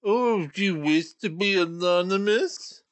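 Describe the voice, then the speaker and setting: A man voicing a polite middle-aged gentleman collecting for charity, formal and courteous. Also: high-pitched, a little slow, no room sound. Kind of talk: storybook reading.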